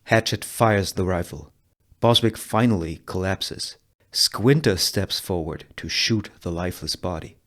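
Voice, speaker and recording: A man's voice: low-pitched, a little slow. A man reading scene directions as scripted narration for a Western table read.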